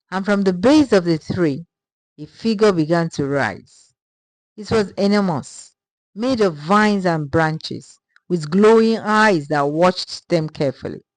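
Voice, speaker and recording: Slow-paced woman telling a folktale. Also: lowish voice, dry recording, rough recording. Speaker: narrator. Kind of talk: scripted folktale narration.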